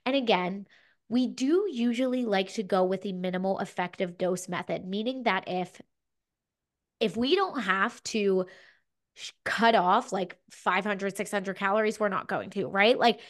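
A woman's voice: medium pitch, measured pace. Sniffly, chatty woman podcast host. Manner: chatty, frequent "like" and "you know". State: stuffy from a cold. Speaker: podcast co-host, fitness coach. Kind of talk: casual advice chat.

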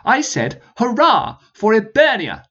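A man's voice: sits high, expressive, normal speed, muffled recording, voice pushed up. A man voicing a pompous, blustering army sergeant who stammers through grand speeches. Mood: rousing, pompous.

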